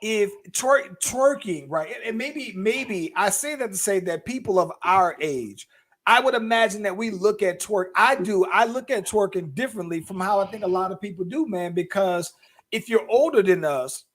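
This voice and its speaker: A man with a high, light voice chats loosely as a podcast co-host. He circles back on himself, restarting and repeating words before he lands a point. His delivery stays relaxed and conversational. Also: normal speed. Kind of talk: casual chat.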